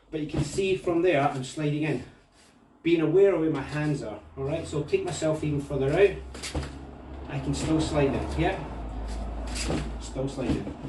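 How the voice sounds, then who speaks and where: A man's voice: a little high, moderate pace, long pauses, slight background noise. Scottish man teaching kicks, echoey. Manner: relaxed, talks through moves while demonstrating. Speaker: martial arts instructor. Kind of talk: demonstration tutorial. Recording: echoey room, mic at a distance.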